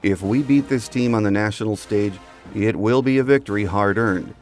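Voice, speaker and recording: A man's voice: lowish voice, moderate pace, darkish tone, soft background music. A man hosting a sports podcast, delivering a scripted commentary monologue. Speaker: podcast host.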